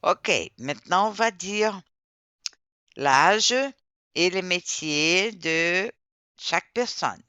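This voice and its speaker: A man teaching a classroom language lesson, speaking slowly and patiently and repeating words for his students to drill. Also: sits high, bright, forceful tone, clear, resonant tone, no echo. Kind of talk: classroom instruction.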